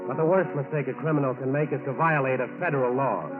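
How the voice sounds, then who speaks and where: A man's voice: mid-range voice, steady tone, fast. A man narrating a scripted 1940s radio crime show in a grave, emphatic manner. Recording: narrow-band old radio, loud music. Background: loud brass orchestral music.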